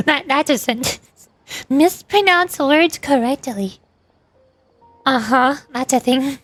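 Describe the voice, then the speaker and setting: A woman's voice: high voice, lively intonation, slow pace, long pauses, fairly bright tone, dry recording. A woman streaming a game, giving casual, rambling commentary as she thinks aloud. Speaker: streamer, VTuber.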